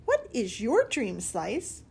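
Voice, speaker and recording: A woman's voice: fairly high pitch, wildly swooping pitch, slow pace, vocal fry, darkish tone, husky, heavily acted. A brash pepper shaker voiced by a woman reading a story, blunt and no-nonsense. Slightly raised voice.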